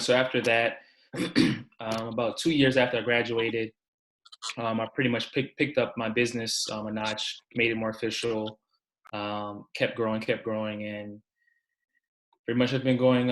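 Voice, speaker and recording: Young male guest from Maryland on a video call, flat even delivery, relaxed, filler-heavy. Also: lowish voice, rough recording. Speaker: basketball coach, guest. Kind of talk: casual interview answers.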